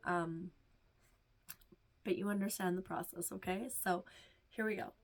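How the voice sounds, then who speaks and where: Stuffy-nosed woman walking you through meditation, nasal, soft, a bit of gravel in the voice. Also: lowish voice, fairly even intonation, a little slow. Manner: soft, reassuring, drifts into whispers. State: stuffed-up nose. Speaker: meditation teacher. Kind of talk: casual instructional talk.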